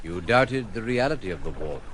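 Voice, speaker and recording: An actor playing a courteous, reserved gentleman with formal phrasing in an audio drama. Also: lowish voice, very animated, a little hiss or hum, rough recording. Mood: dry, reserved. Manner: polite, formal, measured phrasing. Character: courteous, reserved gentleman living on a remote island.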